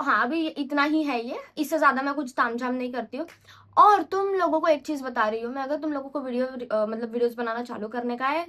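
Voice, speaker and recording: A young woman with a high voice vlogs in a loose, unscripted way. She rambles and chats and often corrects herself mid-sentence. She mixes English phrases freely into her speech. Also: pushed and bright. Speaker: vlogger. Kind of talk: casual unscripted vlog talk.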